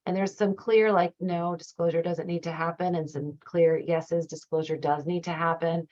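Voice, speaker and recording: Low, slightly raspy woman trainer. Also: flat delivery, moderate pace, darkish tone. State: slightly raspy, starting to lose her voice. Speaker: trainer leading a professional training. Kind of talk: conversational slide presentation. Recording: dull, muffled lo-fi audio.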